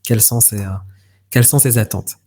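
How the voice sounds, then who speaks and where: A man's voice: medium pitch, fairly even intonation, a little fast, warm tone. A man hosting an interview, asking casual, informal questions. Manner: informal, addresses guest with tu. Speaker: interviewer, host.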